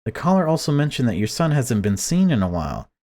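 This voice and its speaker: A man's voice: medium pitch, sing-song, fast talker, a little mellow, pitched above the performer's own voice, natural voice. A man voicing a polite, gullible police officer with a casual manner in a visual novel. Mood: casual, probing. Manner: courteous, casual.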